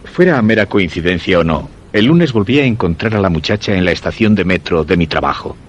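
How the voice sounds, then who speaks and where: An actor with a Castilian Spanish accent playing a curious, insistent husband who recounts a mysterious romance in measured, literary radio-play style. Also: male voice, low voice, expressive, a little hiss or hum. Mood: measured, reflective.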